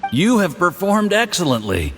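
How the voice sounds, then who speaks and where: Boozy smug villain, wildly swooping pitch. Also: male voice, huge pitch swings, normal speed, faint music behind, full character voice. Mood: smug, condescending. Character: boozy, smug, condescending foreign villain agent, patronizing schemer. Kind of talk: video game cutscene voice acting. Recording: long hall reverb, faint background noise.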